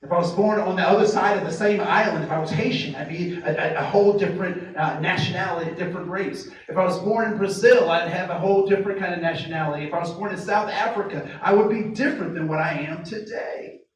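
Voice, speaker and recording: A man giving a workshop to a live group, asking the audience many rhetorical questions and slowing down to stress key phrases. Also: high-pitched. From United States. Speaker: diversity trainer. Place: training room with tables. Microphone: distant mic picking up the room. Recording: very echoey room, speaker far from mic, faint noise.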